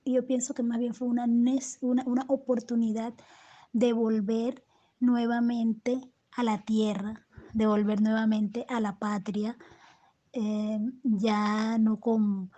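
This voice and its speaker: A woman from Colombia speaks slowly and thoughtfully as an interviewed poet, her voice fairly high yet mellow and soft. She stops and restarts often, repeating small words while she searches for the next thought, and her pitch stays flat. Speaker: poet and storyteller, interview guest. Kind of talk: reflective interview answers.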